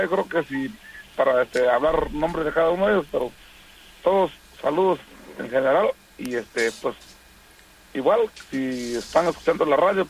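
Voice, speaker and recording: Gravelly rambling male radio caller. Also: on the high side, slight background noise, low-quality recording. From Oaxaca, Mexico. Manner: rambling, with many hesitant fillers. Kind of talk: casual phone-in greetings.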